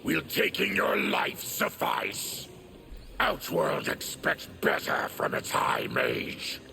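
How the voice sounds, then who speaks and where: An actor playing a bitter, diseased, monstrous former soldier in a fighting game, growling with grim menace. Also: male voice, sits high, big pitch swings, slow, sharp tone, slight background noise, heavily acted. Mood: menacing. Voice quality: gravelly, growling. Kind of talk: video game fight intro dialogue.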